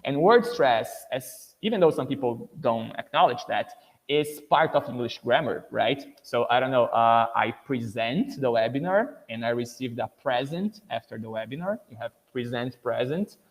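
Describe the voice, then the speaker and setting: A man's voice: mid-range voice, lively intonation, normal speed, big-room sound, rough recording. A man from Brazil with a Brazilian Portuguese accent, an English teacher giving a conversational webinar lecture full of "you know" and "right".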